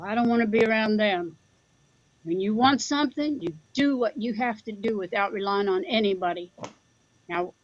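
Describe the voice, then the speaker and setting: A woman's voice: a little high, no room sound, dull audio. A woman playing a plain-spoken rural Midwestern mother in an audio drama, dry, folksy and teasing with her grown son. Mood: reflective. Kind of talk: audio drama acting, conversational.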